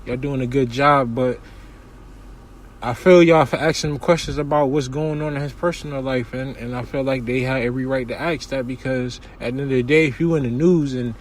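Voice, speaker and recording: A young man from hosting a casual solo podcast from his kitchen, giving news commentary in a relaxed, slangy way with lots of shout-outs. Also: mid-range voice, brisk, rough recording. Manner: laid-back slangy talk with frequent shout-outs. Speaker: podcast host.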